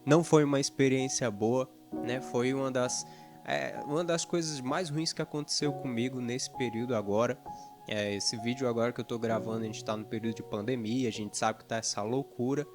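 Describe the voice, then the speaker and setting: A man from northeastern Brazil, a video editor telling his personal story to his channel's viewers in an earnest, conversational way, pausing often with fillers. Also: medium pitch. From Fortaleza, Ceará, Brazil. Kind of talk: personal testimony to camera. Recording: music playing underneath.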